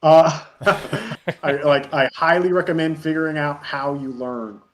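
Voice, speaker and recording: A man's voice: on the high side, measured pace, a bit bright, a little hiss or hum. A man in his thirties chatting casually as an interview guest, telling rambling, chuckly stories. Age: 30s. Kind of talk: casual chat.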